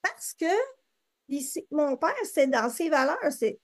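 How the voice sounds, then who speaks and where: Interview guest: middle-aged Québécois woman, high voice, chatty, full of fillers and restarts. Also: lively intonation, slow. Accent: Québécois French. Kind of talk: casual interview conversation.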